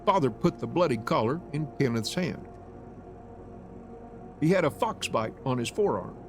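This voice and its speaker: A man streaming a visual novel, narrating it aloud in an expressive storytelling style. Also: mid-range voice, normal speed, faint music behind.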